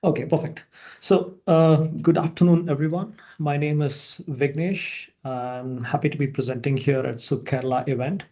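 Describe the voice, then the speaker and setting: A man from India, a product manager, giving a relaxed online presentation over a video call, with many fillers and restarts. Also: on the high side, moderate pace, muffled recording.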